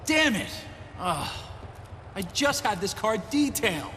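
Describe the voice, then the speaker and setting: A man's voice: high-pitched, very animated, unhurried, sharp tone, full character voice. A man playing a smug, irritable, scheming wrestling boss in a video game, with a sarcastic, smarmy manner. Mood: irritated sarcasm. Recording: noisy ambience behind the voice.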